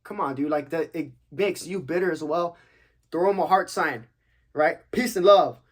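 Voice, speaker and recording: A man's voice: a little high, moderate pace. A young man from Texas hosting a podcast, answering questions in a laid-back, slangy, joking way with casual swearing. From United States, Texas. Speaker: podcast host, active-duty military.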